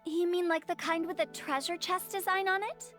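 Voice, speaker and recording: A woman's voice: very high-pitched, steady tone, normal speed, fairly bright tone, faint music behind. A woman voicing a confident, playful, quirky teenage girl with a breezy manner in an anime-style video game. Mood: musing.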